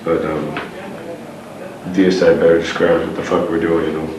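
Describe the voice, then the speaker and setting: A very deep, flat-voiced man answers interview questions bluntly, swearing freely and brushing things off. The sound is a roomy echo picked up from some distance, with faint hiss underneath. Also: normal speed, a crowd in the background. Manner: blunt, profane, dismissive. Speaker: interviewed band frontman. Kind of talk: casual interview. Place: venue backstage, roomy. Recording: echoey room, taped from a distance, faint noise.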